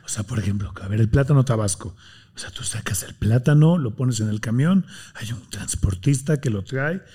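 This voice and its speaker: A man's voice: mid-range voice, breathy edge. A man from Mexico with a Mexican accent, talking passionately as a guest in a casual interview. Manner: passionate, fast and animated, acts out quotes. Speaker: guest, healthy food entrepreneur.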